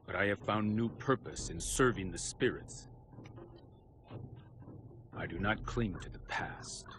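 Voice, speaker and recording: A man's voice: fairly low pitch, a little slow, background noise, natural voice. An actor playing a stoic, spiritual shaman warrior in a video game, solemn, firm and composed. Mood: solemn, reproving. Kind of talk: dramatic video game acting.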